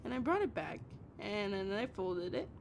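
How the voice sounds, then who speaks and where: A woman playing a heartbroken, obsessive young woman in an intimate audio roleplay, pleading over the phone in halting, stammered, repeated phrases. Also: very animated. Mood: sad, wistful. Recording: noisy recording with low rumble.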